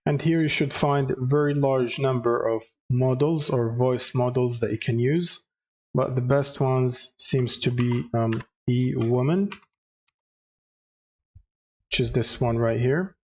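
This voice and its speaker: A man narrating a step-by-step software tutorial with hesitant, halting phrasing. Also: mid-range voice. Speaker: tutorial presenter.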